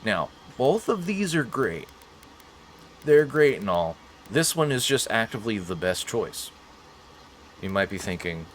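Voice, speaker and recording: A man's voice: mid-range voice, background noise. A man hosting a game playthrough with casual, joking commentary and playful mock-heroic asides. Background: video game sounds.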